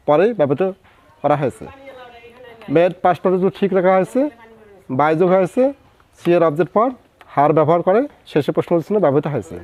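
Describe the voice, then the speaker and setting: A Bangladeshi man with a slightly high voice teaches English grammar in a methodical, scripted way. He slows down on the English example words. The recording is very dry, with a somewhat dull, lo-fi sound. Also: no room sound. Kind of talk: scripted grammar lesson narration.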